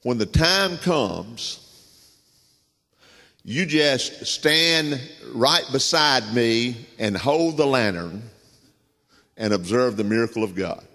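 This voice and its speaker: A man's voice: mid-range voice, expressive, takes it slowly, pauses often, pushed and bright, long reverb tail, exaggerated voice. A man with a Southern US accent voicing a kindly, reassuring old country doctor in a sermon anecdote.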